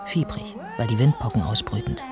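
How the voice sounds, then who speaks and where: An actor narrating a radio drama about a writer's life, in a measured, precise and observant way. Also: male voice, on the low side, over loud music, natural voice. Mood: composed, factual.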